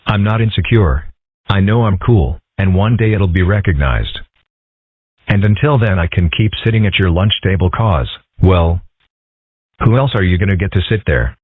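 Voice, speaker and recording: A man voicing dry, understated nerdy schoolboys in an amateur comic-strip dub, with a husky voice. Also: sits low, lively intonation, moderate pace, dry recording. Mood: defensive, smug. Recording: muffled, phone-like lo-fi audio.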